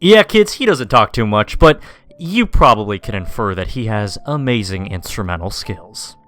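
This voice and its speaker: A man voicing a cheerful animatronic mole who hosts a kids' stage show in a peppy, booming way with a Mexican-American accent. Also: animated, normal speed, a bit bright. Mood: upbeat, showy.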